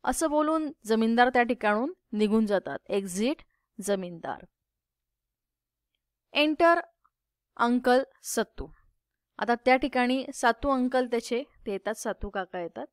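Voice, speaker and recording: A woman's voice: fairly high pitch, expressive, unhurried, long pauses, no room sound, clean studio sound. A woman teacher reading a play aloud and explaining it line by line to students. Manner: patient, explains each line in plain terms.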